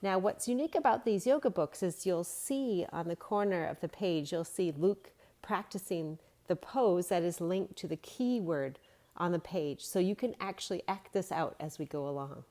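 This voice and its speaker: A woman with a slightly low voice reads a children's storybook aloud as a storyteller. She also teaches yoga along the way, using short, simple phrases suited to young children. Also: moderate pace, warm tone. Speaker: storyteller and kids yoga instructor. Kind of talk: read-along storybook narration with gentle instruction.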